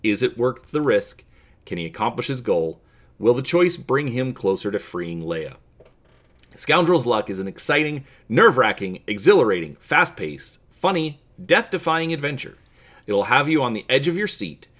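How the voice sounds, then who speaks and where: A man reading a story aloud as a narrator, in an expressive, theatrical manner. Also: medium pitch, moderate pace. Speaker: reader and channel host.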